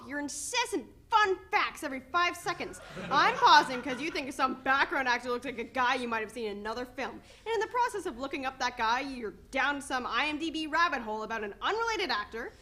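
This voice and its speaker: Woman acting a high, exasperated teenage girl. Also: pushed and bright, exaggerated voice. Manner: sarcastic, scolding. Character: exasperated pregnant teenage girl confronting her boyfriend. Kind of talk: dramatic reading of a play.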